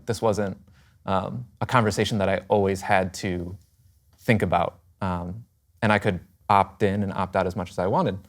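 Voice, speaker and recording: A man from Michigan sharing thoughtfully in a church panel, pausing with fillers. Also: deep voice, fairly even intonation. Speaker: discussion panelist. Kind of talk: candid personal sharing.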